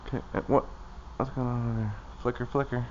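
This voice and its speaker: A man's voice: medium pitch, steady tone, quick pace, lo-fi sound, a little hiss or hum. A man casually talking himself through a hands-on task as a vlogger, in short, loose, muttered phrases. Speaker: vlogger doing his own car maintenance.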